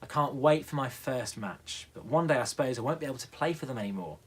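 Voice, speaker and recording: A man voicing an excitable, eager young boy who is mad about football, in a bedtime story. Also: mid-range voice, fast, breathy edge. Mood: happy, eager.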